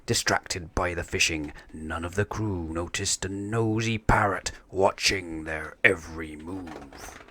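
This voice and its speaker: Male narrator for children's storybook: slow, deliberate pacing, crisp bright tone, close dry sound. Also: low voice. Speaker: storybook reader.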